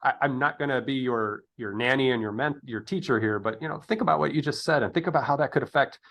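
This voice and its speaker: A man's voice: mid-range voice, fast, a bit bright. A middle-aged man in a reflective interview conversation, speaking earnestly and hesitantly as he searches for his words. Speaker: interview host.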